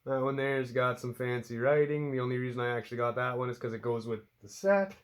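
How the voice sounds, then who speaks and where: A man's voice: medium pitch, quick pace. A man from Canada with a stuffed-up cold, chatting casually and rambling to camera about his hobby, with offhand swearing and self-deprecating asides, recorded indoors at home. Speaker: outdoor hobby vlogger.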